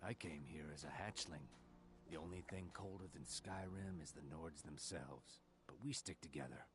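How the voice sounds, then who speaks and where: A man's voice: rumbling low, moderate pace, background noise. An actor playing a blunt, bitter male lizardfolk dockworker in a game. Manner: blunt, dry, resentful.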